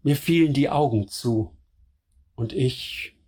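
A slow, tender young lover. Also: male voice, mid-range voice, a little mellow, slightly rough. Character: young man secretly in love, anxious, devoted. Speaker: first-person narrator. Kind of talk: scripted radio drama narration.

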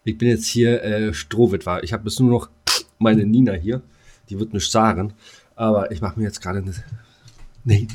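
A man's voice: lowish voice, fairly even intonation, normal speed, a little mellow, slightly rough. A man co-hosting a casual podcast, speaking hesitantly and often repeating short phrases. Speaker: podcast co-host.

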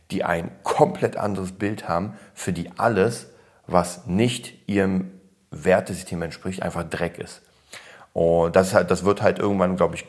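A man from Berlin, born in Poland, hosting a podcast and chatting casually with lots of restarts and fillers. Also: deep voice. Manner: rambling, frequent restarts and fillers, blunt. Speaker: podcast host. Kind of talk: casual opinion chat.

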